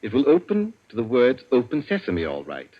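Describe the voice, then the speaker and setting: A British actor playing an urbane, cunning captive inventor in a radio suspense drama, speaking with suave, precise politeness. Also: male voice, medium pitch, wildly swooping pitch, a little fast. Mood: wry, self-satisfied. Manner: suave, precise, leisurely explaining. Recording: dull, lo-fi old radio recording.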